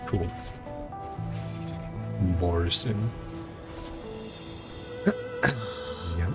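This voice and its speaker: A man's voice: deep voice, drawn-out words. A man casually commenting as he plays a video game, often reading along in musing fragments. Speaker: streamer playing a video game. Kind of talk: casual gameplay commentary, reading subtitles aloud. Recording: game music behind the voice. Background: game music.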